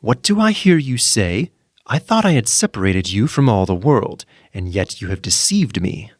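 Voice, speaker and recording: A man acts out a wicked old storybook enchantress, pitiless and menacing. The voice is rough-edged and swings widely in pitch. The delivery is angry and mocking. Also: very animated, normal speed, no echo. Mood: angry, accusing. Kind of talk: storybook character voice.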